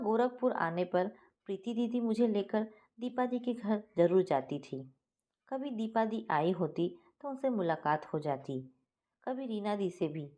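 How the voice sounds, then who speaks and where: Female storyteller, medium pitch, scripted first-person narration of domestic fiction. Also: warm tone.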